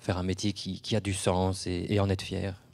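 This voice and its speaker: Deep-voiced, stuttering, earnest male nurse. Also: completely flat delivery, vocal fry, a little mellow. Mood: earnest, aggrieved. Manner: halting, stuttering. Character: shy, earnest male nurse, bitterly fed up. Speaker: nurse in a support group. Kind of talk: naturalistic radio drama acting.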